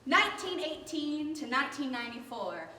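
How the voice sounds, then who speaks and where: A woman's voice: high voice, sing-song, normal speed, noisy background. A woman announcing names and dates between scenes of a stage show. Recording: echoey hall from far off.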